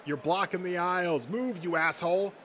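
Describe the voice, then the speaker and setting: A man's voice: high-pitched, normal speed, fairly bright tone, slight background noise, voice pushed up. A man voicing a bossy, impatient figure at a comic convention, barking at people in a comic dub. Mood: impatient shouting. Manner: barking orders.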